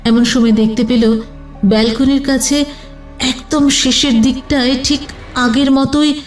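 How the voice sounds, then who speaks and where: Slow-paced woman narrator over music. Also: sits high, steady tone. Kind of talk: scripted detective story narration.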